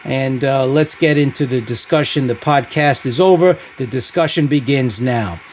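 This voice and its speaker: A man hosting a podcast-style product review, speaking in short, halting phrases. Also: medium pitch, slight background noise. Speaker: podcast host reviewing CB radios.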